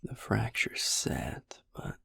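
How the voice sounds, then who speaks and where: A man voicing a gruff, caring, sardonic vampire healer in an intimate ASMR roleplay, speaking in a breathy, gravelly near-whisper. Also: takes it slowly, a bit bright, dry recording, studio quality. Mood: gentle, focused.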